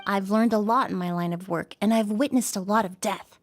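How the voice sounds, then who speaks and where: A woman's voice: medium pitch, steady tone, brisk, close to an everyday voice. A woman voicing a composed young perfumer and forensic expert in a video game, precise and explanatory. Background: game music.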